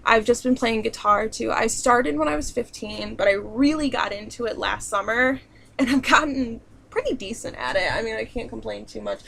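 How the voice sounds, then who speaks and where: A woman's voice: fairly high pitch, moderate pace, creaky, fairly bright tone. A young woman from southern New York with a southern New York and central Pennsylvania accent, chatting and rambling through a casual Q&A vlog with lots of ums and likes. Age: young adult. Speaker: vlogger.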